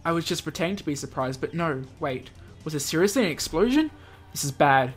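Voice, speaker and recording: A man's voice: a little high, big pitch swings, fairly quick, slightly rough. A man hosting a let's play, reading a visual novel aloud with off-the-cuff asides and stumbles over words. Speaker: gaming host. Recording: music behind the voice.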